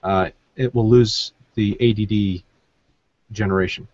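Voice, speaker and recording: A man with a fairly low voice hosts a casual podcast, teasing drily as he chats. The recording is close and echo-free but somewhat dull. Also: steady tone, unhurried, a little mellow. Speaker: podcast host. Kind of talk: casual chat.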